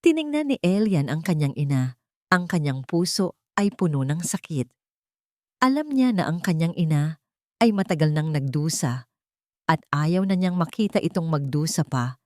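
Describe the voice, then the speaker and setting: A woman's voice: sits low, animated, normal speed, darkish tone, no room sound, studio quality. A woman reading scripted dramatic story narration.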